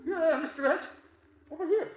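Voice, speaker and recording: A man playing an excitable, cowardly, greedy sidekick who stammers and wheedles in an old radio drama. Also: very high voice, moderate pace. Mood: nervous, bluffing. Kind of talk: 1950s radio drama acting. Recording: muffled, noisy 1950s radio recording.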